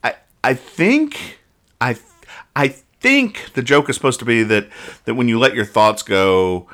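Bright-voiced man bantering wryly. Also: mid-range voice, expressive, unhurried. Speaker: comedy podcast host. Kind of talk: casual bantering comic-strip review.

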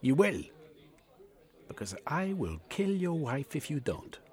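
A man's voice: a little high, big pitch swings, takes it slowly, a little mellow, voice pushed up. A man voicing a scheming, scornful male foreign spy villain who threatens and sneers.

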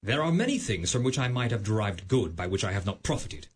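A man's voice: fairly low pitch, very animated, fairly quick, breathy edge. An actor playing a cheerful, earnest young nephew in a radio play, pleading with his uncle.

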